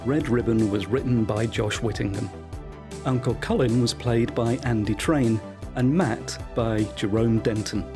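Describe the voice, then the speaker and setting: A man's voice: medium pitch, measured pace. A man reading scripted credits as the announcer of an audio production. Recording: music behind the voice. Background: music.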